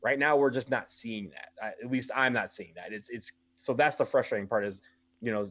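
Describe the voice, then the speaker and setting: A man's voice: medium pitch, brisk, a bit bright. A man talking frankly as a podcast guest and fan, in a conversational way. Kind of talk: casual chat.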